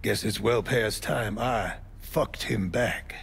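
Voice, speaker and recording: A man's voice: lowish voice, slow pace, vocal fry, a bit bright, a little hiss or hum, full character voice. An actor playing a weary, blunt older American mob man with folksy, streetwise talk in a video game. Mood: wary, confiding. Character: weary, foul-mouthed old mob man. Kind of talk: video game cutscene dialogue.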